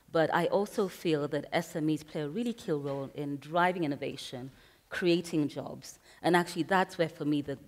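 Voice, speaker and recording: A woman's voice: sits low, darkish tone. A woman from Kenya speaking as a panelist at a conference, earnest and emphatic, thinking aloud. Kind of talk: panel discussion. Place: conference hall. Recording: reverberant conference hall.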